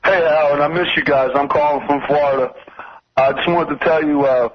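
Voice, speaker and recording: A man's voice: a little high, steady tone, a little fast. A man phoning a radio show and chatting casually as a fan. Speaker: radio caller. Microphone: telephone. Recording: muffled band-limited phone call on radio.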